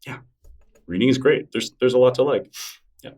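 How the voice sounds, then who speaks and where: A man's voice: medium pitch, normal speed, a little mellow, distant mic. A man being interviewed in casual conversation, thoughtful and rambling, full of fillers and restarts. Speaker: interview guest, newsletter creator.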